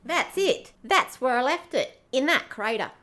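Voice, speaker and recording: A woman plays an excitable female crew member in a space radio play. Her voice is fairly high. She talks in a brash, direct way. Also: measured pace. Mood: eager. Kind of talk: amateur radio play acting.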